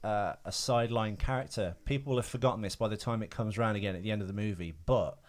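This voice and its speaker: A man's voice: lowish voice, fast talker. A man co-hosting a podcast, chatting casually about films with sweary, joking banter. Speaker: podcast co-host. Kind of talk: casual film chat, trivia.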